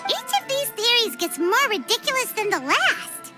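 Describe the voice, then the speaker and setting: A woman voicing a tiny, bubbly, chatty girl companion in a game, who talks about herself by name in a high, childlike voice. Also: big pitch swings, normal speed. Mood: wry. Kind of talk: game cutscene voice acting. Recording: game audio with music behind.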